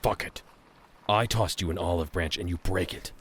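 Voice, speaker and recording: An actor playing a smug, bossy gang leader in an audio drama, domineering and condescending. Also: male voice, low voice, normal speed, breathy edge. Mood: threatening. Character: gang leader grunt, menacing, smug, bossy adult man.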